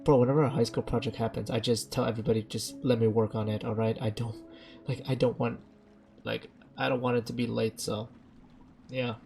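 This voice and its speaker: A man's voice: mid-range voice, little pitch movement, a little fast, gravelly, dark tone. A young man giving casual, slangy, rambling commentary as he plays a game, often trailing off mid-thought. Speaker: streamer playing a story game. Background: game music.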